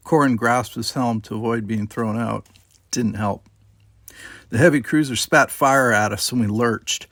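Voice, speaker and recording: A man's voice: medium pitch, fairly bright tone, no room sound, studio quality. A man reading dramatic first-person scripted narration as an audiobook narrator.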